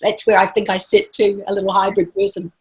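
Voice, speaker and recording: A middle-aged woman from New Zealand with a hybrid New Zealand and Australian accent. She gives a motivational talk as guest speaker, telling lively stories, acting out quoted dialogue and laughing often. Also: medium pitch, brisk, low-quality recording. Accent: New Zealand mixed with Australian.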